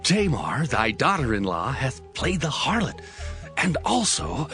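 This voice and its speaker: Brief: man voicing a messenger bearing scandal, high pitch, faint music. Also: huge pitch swings, a little slow, sharp tone, exaggerated voice. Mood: scandalized.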